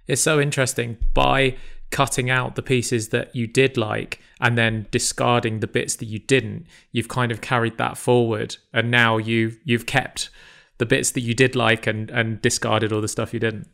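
Flat-voiced male interviewer, gravelly. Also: medium pitch, normal speed. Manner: reflective, sums up the guest's words. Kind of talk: casual conversational interview.

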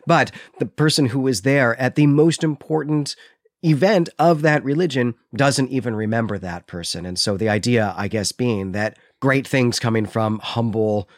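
Male podcast co-host, conversational and emphatic, average pitch, dry studio-like sound. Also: moderate pace, no echo. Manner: emphatic, often checking with "right?". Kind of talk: conversational literary discussion.

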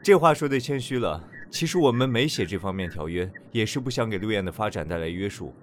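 A man playing a diplomatic, smooth-talking company assistant in a Chinese audio drama. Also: lowish voice, lively intonation, fast talker, slight background noise, natural voice. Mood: polite, persuasive.

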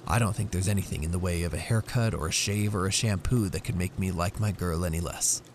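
A man playing a devoted young husband, speaking in a measured, laboring way in a dramatic storybook reading. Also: deep voice, darkish tone, slight background noise, voice pushed down. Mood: reassuring.

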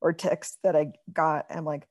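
A woman's voice: deep voice, flat delivery, measured pace, a little mellow, lo-fi sound, a little hiss or hum. A young adult woman chatting informally as a podcast co-host, with a wry, teasing way of talking. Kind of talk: casual banter between siblings.

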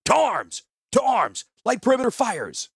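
A man's voice: mid-range voice, sing-song, normal speed, fairly bright tone, slightly rough, no echo, clean studio sound, voice pushed up, full character voice. A man voicing a grim, fierce military commander in a fantasy audiobook, urgent and given to barking orders. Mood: shouting orders.

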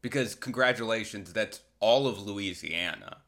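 A man's voice: lowish voice, moderate pace, a bit bright. An American man from Massachusetts hosting a solo podcast, delivering a casual, rambling comedic monologue with an irreverent, crude, sardonic manner. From Massachusetts, United States.

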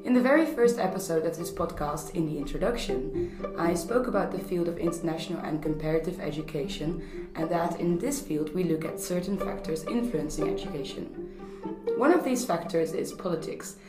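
A woman's voice: on the low side, lively intonation, a little fast, echoey room, music in the background. Dutch-born woman hosting a podcast. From Netherlands. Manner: friendly, laughs at her own jokes. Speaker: podcast host. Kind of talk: podcast interview. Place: home video call.

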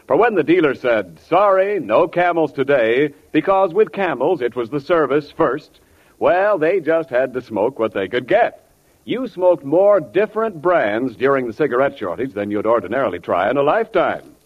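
Male American announcer for vintage radio ads: grandiloquent, lively inflection, muffled period sound. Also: medium pitch. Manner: polished, grandiloquent. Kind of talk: 1940s radio sponsor announcing. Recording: dull vintage 1940s radio recording.

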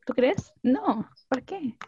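A young woman with a Chilean accent tells school stories on a casual podcast, laughing often as she goes. Her voice sits a little high, and the recording sounds slightly muffled and lo-fi. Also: slow pace, slightly rough, dry recording. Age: young adult. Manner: animated storytelling, laughs often. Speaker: podcast co-host. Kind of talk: casual chat.

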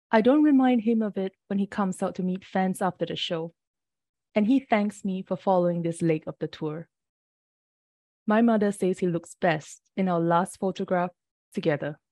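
Woman poet, warm dry close voice, measured unhurried reading, a bit early-morning rough. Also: lowish voice, dry recording. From Singapore. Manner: measured, deliberate reading with brief asides. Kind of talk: poetry reading.

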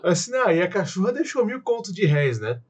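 Casual co-host: Brazilian man, husky, somewhat high, lively retelling. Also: brisk. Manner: animated, quotes film lines in put-on voices. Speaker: podcast co-host. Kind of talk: casual film review chat.

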